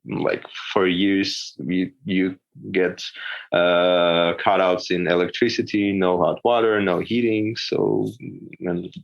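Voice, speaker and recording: A man's voice: sits low, little pitch movement, slow pace, vocal fry, muffled recording. A man with an Armenian accent, a comedian guest chatting casually on a podcast, hesitant, often repeating words and laughing.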